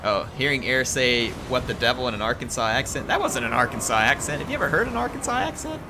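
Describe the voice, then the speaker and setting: A man's voice: mid-range voice, sing-song, fast talker, noisy background. Playful man commentating a strategy game. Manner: playful, jokey, chatting to viewers. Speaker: gaming channel host. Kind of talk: live gameplay commentary.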